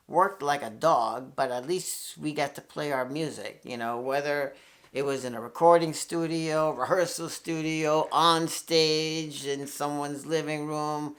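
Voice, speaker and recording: A man's voice: fairly high pitch, a bit bright. A man in his sixties from New York, a guitarist talking through a casual, rambling, candid monologue to camera, with frequent swearing and restarts. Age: 60s. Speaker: guitarist and channel host.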